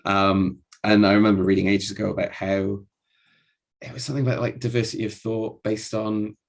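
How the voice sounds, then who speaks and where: A man's voice: lowish voice, level tone, fairly quick, creaky. A man in his thirties from Wales, musing with curiosity in a casual discussion. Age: 30s. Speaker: discussion participant.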